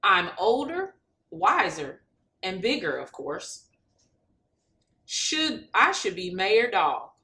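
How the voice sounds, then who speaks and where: An eager storybook dog voiced by a woman boasts with a bright voice. The pitch jumps about, full of self-importance. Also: very animated, takes it slowly, long pauses, heavily acted. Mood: indignant, boastful.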